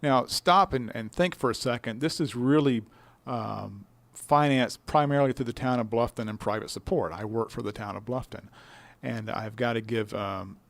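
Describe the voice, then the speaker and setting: A man with a creaky, gravelly edge talks in a radio interview. He explains things conversationally and plainly, in folksy stop-and-start phrases, recorded close and dry. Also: medium pitch, normal speed, no echo. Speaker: business incubator director being interviewed.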